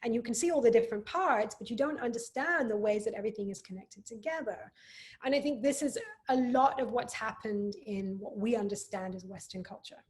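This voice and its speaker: Articulate woman, fairly high voice. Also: fairly quick. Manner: thoughtful, articulate, with frequent agreeing interjections. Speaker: podcast guest. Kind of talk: reflective conversation.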